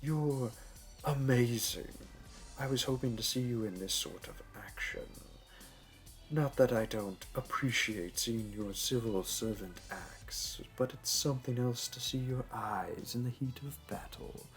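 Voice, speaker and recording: Man playing a slow, teasing, suave supervillain. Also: medium pitch, close to an everyday voice. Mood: admiring, flirty. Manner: teasing, purring, theatrical, drawn-out delivery. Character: suave, flirty male supervillain, teasing and admiring the hero. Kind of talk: flirty audio roleplay acting. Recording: music under the voice. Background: music.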